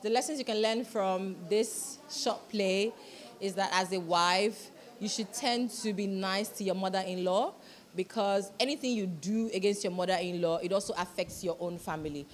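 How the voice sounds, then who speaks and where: A woman's voice: medium pitch, moderate pace. A woman explaining a moral lesson in an interview.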